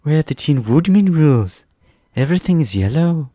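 A man voicing an eager, proud young boy adventurer in a storybook, explaining things excitedly. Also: on the high side, moderate pace, no echo, highs cut off, pitched above the performer's own voice.

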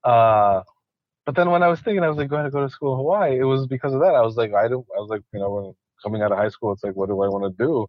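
A man's voice: medium pitch, quick pace, dry recording, dull audio. A man from Ecuador, a conservationist guest, talking casually in an interview with many restarts and repeated words.

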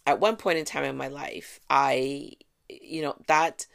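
A woman's voice: extremely low pitch, fairly even intonation, unhurried, creaky, fairly bright tone. A woman hosting her own podcast alone at home, talking in a chatty, sarcastic, rambling way. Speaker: podcast host. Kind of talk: casual solo podcast monologue. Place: at home, fan running.

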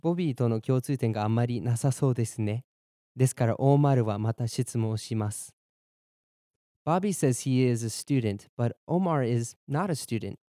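Dark-voiced man teaching a bilingual lesson. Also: mid-range voice, no echo, studio quality. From born in Germany, raised in Boston. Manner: clear, measured explanations, slows down for repeat drills. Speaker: podcast language teacher. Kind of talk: bilingual lesson narration, Japanese and English.

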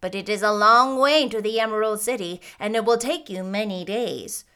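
A woman acts out a solemn little village man from a fairy tale. He speaks loudly and deliberately, with a grave, cautioning manner. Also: medium pitch, smooth. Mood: grave warning.

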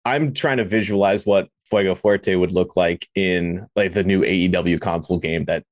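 A man's voice: deep voice, normal speed, no echo. A man co-hosting a podcast, asking questions in a casual interview style. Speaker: podcast co-host interviewing a guest.

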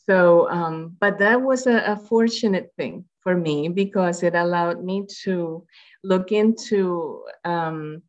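A woman's voice: lowish voice, takes it slowly, lo-fi sound. A woman from Puerto Rico, a public health researcher, speaking in a conversational interview and rambling with many fillers and restarts.

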